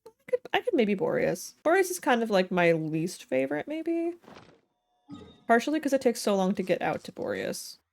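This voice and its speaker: A woman's voice: a little high, huge pitch swings, moderate pace, vocal fry, a little mellow. A woman livestreamer chatting casually while gaming, thinking aloud in a playful, rambling way with silly slang. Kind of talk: casual gaming stream chat. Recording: game music behind the voice. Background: game music.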